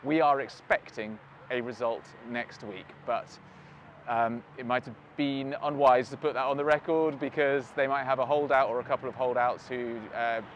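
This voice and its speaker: A man's voice: mid-range voice, steady tone, moderate pace, lo-fi sound, a little hiss or hum. A British man hosting, chatting casually and self-deprecatingly outdoors while waiting for a bus. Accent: British English. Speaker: host. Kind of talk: casual interview and vlog wrap-up.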